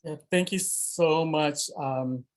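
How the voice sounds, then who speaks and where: A man's voice: on the high side, slow. A man speaking as a panelist, hesitant and searching for words. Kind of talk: panel remarks.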